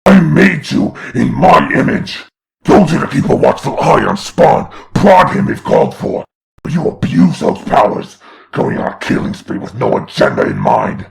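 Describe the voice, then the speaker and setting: A man's voice: on the high side, very animated, normal speed, heavily acted. A man playing an imperious, menacing demon lord of hell in a comic dub, pompous, scolding and growling. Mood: scolding, contemptuous. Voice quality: gravelly, growling. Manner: pompous, scolding, theatrical delivery. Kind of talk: comic dub villain acting.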